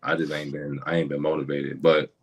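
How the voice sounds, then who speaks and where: A young man, a rapper guest, talking candidly in a podcast conversation, halting and repeating words, with casual slang. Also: very deep voice, level tone, slightly rough.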